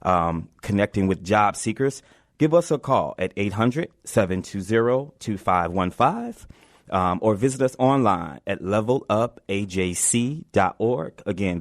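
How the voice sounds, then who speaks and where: A man's voice: lowish voice, dry recording, studio quality. A man hosting a live community radio interview show, often repeating himself as he goes.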